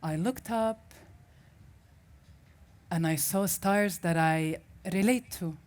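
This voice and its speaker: A woman's voice: fairly low pitch, slow, darkish tone. A woman from Syria, a native Arabic speaker, giving a reflective personal story as a festival speaker and often restarting as she searches for words.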